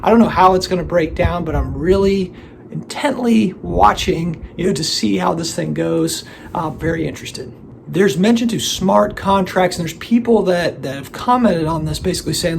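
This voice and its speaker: A man hosting his own collectibles channel, talking casually to the camera while hoarse from laryngitis, rambling with many fillers and restarts. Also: fairly high pitch, a little hiss or hum. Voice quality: gravelly, strained voice. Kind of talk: casual solo video commentary.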